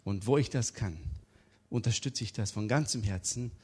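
Joking middle-aged preacher from Turkey. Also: male voice, fairly low pitch, moderate pace, a little hiss or hum. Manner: humorous, lively storytelling. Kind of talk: interview and sermon.

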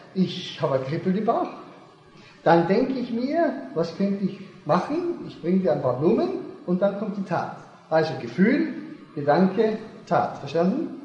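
A man's voice: high voice, a little mellow. A man giving a seminar lecture, explaining step by step, repeating key points and checking whether the audience understands. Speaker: seminar speaker. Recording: echoey hall, dull lo-fi sound.

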